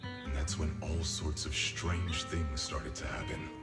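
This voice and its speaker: A very deep-voiced, big male soldier in a video game drama. He is candid, resolute and quietly weary, with measured, earnest delivery. Loud music plays behind in an echoey space. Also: steady tone. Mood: subdued, earnest.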